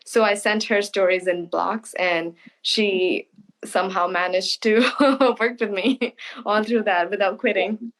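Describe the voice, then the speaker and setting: Woman, author interview, casual, thoughtful, frequent restarts, medium pitch. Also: fairly even intonation, low-quality recording. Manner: thoughtful, halting with restarts and soft laughs.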